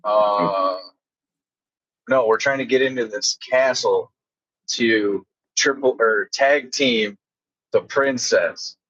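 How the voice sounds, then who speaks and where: Muffled lo-fi voice chat; male, raspy, unhurried, crude and jokey in casual talk. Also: medium pitch, fairly bright tone. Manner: crude, joking. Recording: dull lo-fi voice chat.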